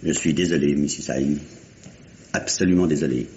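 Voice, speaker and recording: An actor playing a grave, regretful family doctor in a 1950s-style French radio mystery. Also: male voice, a bass voice, normal speed, warm tone. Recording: dull, noisy old radio recording.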